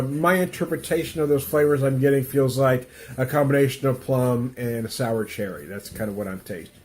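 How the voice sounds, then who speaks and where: A man's voice: mid-range voice, a little mellow. Stuffy-nosed man rambling through a beer review. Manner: rambling, self-interrupting, chuckles often. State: stuffy nose from a cold. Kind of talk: casual beer tasting review. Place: home room with music playing.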